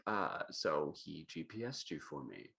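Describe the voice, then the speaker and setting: Man playing breezy old friend, swooping pitch. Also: very animated, a little slow, vocal fry, bright, forceful tone, exaggerated voice. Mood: sheepish. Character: teasing, breezy, gushing male old friend. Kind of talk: comedy screenplay table read. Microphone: video call.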